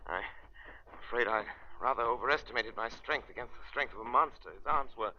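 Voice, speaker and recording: An actor playing a grave, eloquent gentleman crime fighter in a 1930s radio melodrama. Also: mid-range voice, a little fast. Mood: grave, resolute. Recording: noisy, muffled 1930s radio recording.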